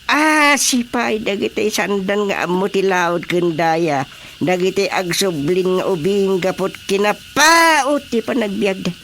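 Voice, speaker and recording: An actor playing an emphatic neighbour who airs family troubles with drawn-out delivery in a radio drama. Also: mid-range voice, a bit bright. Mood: lamenting. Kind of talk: Ilocano radio drama acting. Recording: faint background noise with crickets.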